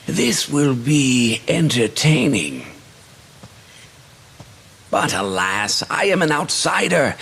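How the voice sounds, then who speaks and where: Give me an aloof, amused sorcerer for a fantasy game. He is wry and theatrical, slow, with a widely swinging pitch. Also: creaky, fairly bright tone, a little hiss or hum, full character voice. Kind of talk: dramatic video game voice acting.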